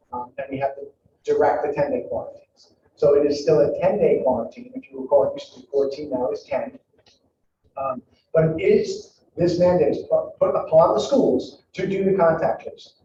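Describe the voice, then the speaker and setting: A man's voice: a little high, background noise. A man, a school district leader, giving a public presentation to families in a conversational way, with many ums and restarts. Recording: echoey hall, far from mic, dull.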